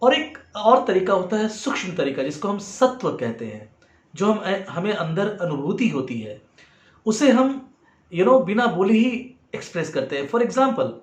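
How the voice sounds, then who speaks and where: A man with an Indian accent giving an explanatory lesson in a measured way as a music teacher. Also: high voice, sing-song. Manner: measured, demonstrates points with acted example phrases. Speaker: music teacher and video host. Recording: roomy, echoing sound.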